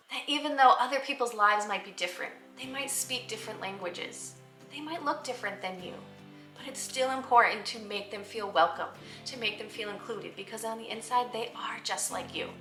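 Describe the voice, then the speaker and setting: A woman's voice: fairly high pitch, sharp tone. A woman presenting picture books to teachers in an explanatory, teacherly way with emphatic, simple phrasing. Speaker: host recommending children's books to teachers. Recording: roomy echo, faint music behind. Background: faint music.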